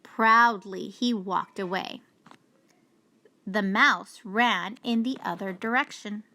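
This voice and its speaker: Slow, bright-voiced woman reading a storybook. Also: medium pitch. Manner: deliberate, expressive storytelling. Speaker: storybook reader. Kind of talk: children's read-aloud narration.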